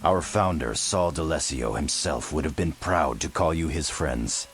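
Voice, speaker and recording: Very deep, solemn soldier. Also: male voice, lively intonation, a little slow. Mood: grave, formal. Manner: formal, solemn, grave. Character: gruff, solemn holy-order soldier, grown man. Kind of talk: video game cutscene acting.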